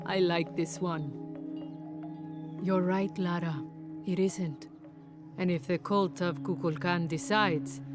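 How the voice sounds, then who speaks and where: A serene, knowing merchant woman of few hurries for a game cutscene. She speaks very slowly in a lowish voice, musing and rambling in riddles over background music. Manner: slow, musing, rambling philosophically. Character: wise, cryptic outcast shopkeeper woman, serene and amused. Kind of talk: video game cutscene acting. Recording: music under the voice.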